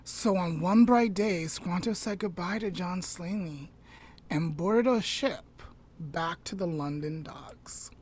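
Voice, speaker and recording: Slow-paced woman telling a story. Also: lowish voice, a little mellow, husky. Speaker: podcast host telling a story. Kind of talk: storytelling retold aloud, informal.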